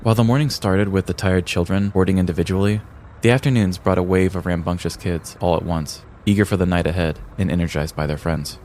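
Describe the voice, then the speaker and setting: An American man reading a scripted comedic story in a deliberate, theatrical storyteller's manner. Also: deep voice, steady tone, a little mellow, a little hiss or hum. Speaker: podcast host telling a story.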